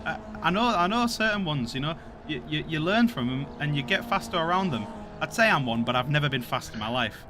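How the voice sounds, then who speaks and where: A man with a Yorkshire accent commentating an online race, jokey and quick to burst into excited shouts when cars crash. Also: a little high, noisy background. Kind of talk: sim racing commentary.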